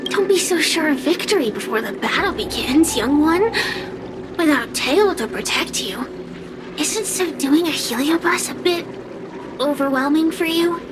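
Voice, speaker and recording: A woman's voice: sits high, animated, unhurried, background noise. Haughty, scheming female fire spirit. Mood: taunting, seductive. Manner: theatrical, condescending. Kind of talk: video game cutscene voice acting. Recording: game music under the voice.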